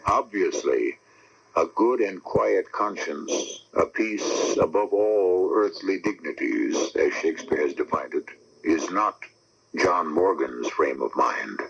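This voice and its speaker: A man hosting a radio mystery series, giving a measured, solemn, deliberate introduction on a lo-fi 1970s broadcast. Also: sits low, animated, gravelly, a bit bright, husky. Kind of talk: scripted dramatic introduction.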